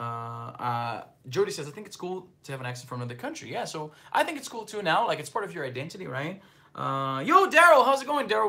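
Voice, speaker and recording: A man's voice: fairly high pitch, bright, forceful tone. Casual man with a slight Brazilian accent. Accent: slight Brazilian Portuguese. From northeastern Brazil. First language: Portuguese. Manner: rambling, filler-heavy, loose and conversational. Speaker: livestream host. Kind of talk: casual livestream chat, reading viewer comments.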